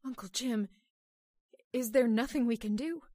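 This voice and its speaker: A woman's voice: fairly high pitch, measured pace, mellow, no room sound, studio quality. A woman playing a frightened, imploring niece in an old-time radio horror drama, appealing dramatically.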